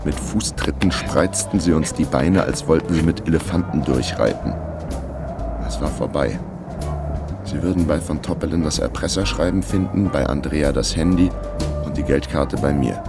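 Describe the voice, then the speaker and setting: An actor playing the first-person narrator of a radio crime drama, telling it in a measured way. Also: male voice, very deep voice, creaky. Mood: tense resignation. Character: male first-person narrator of a crime story, wry and composed. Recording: loud music under the voice. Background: music.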